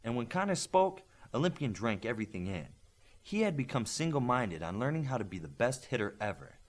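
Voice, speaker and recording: Animated man narrating a novel. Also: medium pitch, a little fast. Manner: expressive, animated reading. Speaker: audiobook narrator. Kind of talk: scripted fiction narration with character dialogue.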